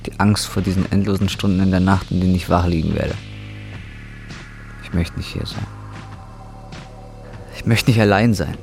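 A man's voice: low voice, steady tone, moderate pace, creaky, pauses often, a little mellow, faint music behind. An actor playing a troubled, bitter man in his twenties, brooding and intense in radio drama narration and dialogue. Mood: lonely, longing. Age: 20s.

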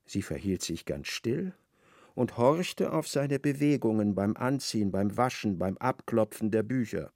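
A man narrating a literary radio play in a measured, precise storytelling manner. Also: fairly low pitch, a little mellow, no echo, studio quality.

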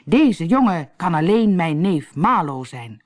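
A woman's voice: on the low side, big pitch swings, unhurried. Woman playing a blustering, irate old storybook father. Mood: astonished, urgent. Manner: loud, commanding, exclamatory. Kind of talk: storybook character acting.

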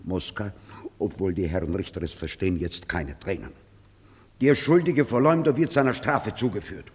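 An actor in a radio play playing a sly, eloquent accuser who declaims with theatrical outrage. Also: male voice, sits low, normal speed, natural voice. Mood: hushed, sly. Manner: oratorical, swooping from hushed asides to loud declamation. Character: sly, eloquent male accuser feigning righteous outrage in court. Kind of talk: theatrical classic German radio play acting. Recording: reverberant hall.

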